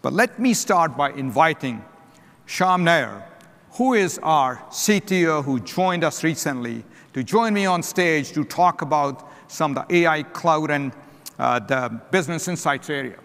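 Middle-aged man, keynote speaker on a big stage, slightly high voice, long hall reverb. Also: animated, unhurried, fairly bright tone. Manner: throat-clearing, short declarative phrases. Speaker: company CEO giving a keynote. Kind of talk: keynote presentation. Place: large conference stage. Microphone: headset mic.

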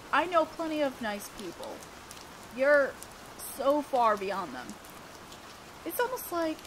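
A woman playing an awkward, earnest teenage girl student council president in a romantic audio roleplay, halting and bashful as she talks. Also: sits high, background noise. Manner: halting, bashful, breaking off mid-sentence. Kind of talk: romantic audio roleplay acting, one-sided dialogue. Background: rain sounds.

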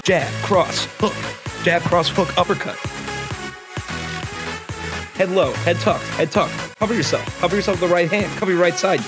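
A man's voice: high-pitched, normal speed, long pauses, music in the background. A man in his twenties giving sarcastic, exclamatory, sweary livestream reaction commentary, close on the mic in a small room. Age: 20s. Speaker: streamer reacting to videos. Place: small indoor streaming room. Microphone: desk microphone, close to speaker.

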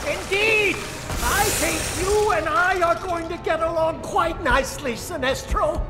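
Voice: very high voice, measured pace, big-room sound, music in the background. A performer voicing a gleeful, effusive villain ally in a video game. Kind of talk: video game cutscene acting.

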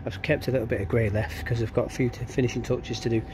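A man's voice: mid-range voice, steady tone, fast. A man chatting informally and ramblingly as he narrates a casual handheld DIY vlog outdoors. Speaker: DIY vlogger. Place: outdoors in a back garden. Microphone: camera or phone held by the speaker. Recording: outdoor ambient noise, handheld camera.

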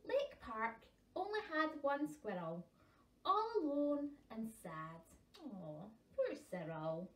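A woman, reading picture books to young children with chatty, expressive asides. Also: on the high side, takes it slowly. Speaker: library storytime presenter. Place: small carpeted room at home, seated on cushions. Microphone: camera a couple of metres away. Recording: roomy sound from a distant camera mic.

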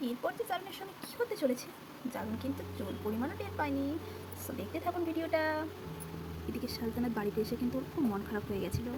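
A woman's voice: high-pitched, expressive, fast, heavy background noise. A woman narrating a puppet-show story in a storytelling style on an amateur recording.

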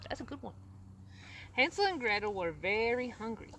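A woman in her thirties reading a picture book aloud to young children, pausing to ask them questions. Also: fairly high pitch, very animated, sharp tone. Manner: pauses to put questions to young listeners. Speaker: storyteller, host of children's read-alouds. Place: open grassy farm field with chickens. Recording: outdoor noise, animal sounds. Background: faint outdoor ambience and animals.

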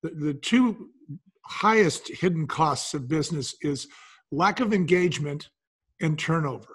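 A man's voice: a little high, lively intonation, a little slow, a bit bright, breathy edge. An older man talking as an interview guest, explaining things and telling stories in a conversational way. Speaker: podcast guest, business founder.

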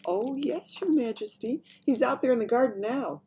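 A polite little girl from a storybook, voiced by a woman. She is earnest and respectful, speaking simply and reassuringly in a slightly raised pitch. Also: normal speed, warm tone, a little hiss or hum, lightly acted.

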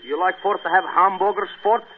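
Guy doing slangy snack stand man, high pitched, hissy old 1930s radio. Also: fairly even intonation, a little fast, phone-quality sound, lightly acted. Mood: eager. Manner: slangy hawker's patter. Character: eager male refreshment-stand vendor.

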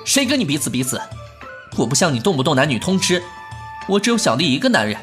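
A woman playing a brash, sharp-tongued young gentleman in a Chinese costume audio drama, theatrical and indignant. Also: low voice, very animated, fast, soft background music.

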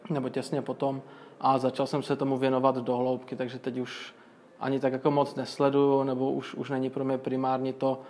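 Flat-voiced man explaining step by step. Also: mid-range voice. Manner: flat, explanatory, many fillers and restarts. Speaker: guest, crypto magazine owner. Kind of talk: casual interview answers.

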